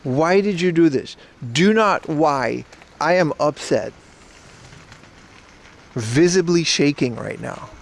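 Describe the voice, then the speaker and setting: A man's voice: fairly high pitch, lively intonation, takes it slowly, vocal fry, long pauses. A man chatting casually on a walking livestream, reading chat aloud and joking with mock outrage. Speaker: IRL streamer. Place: outdoors on city streets.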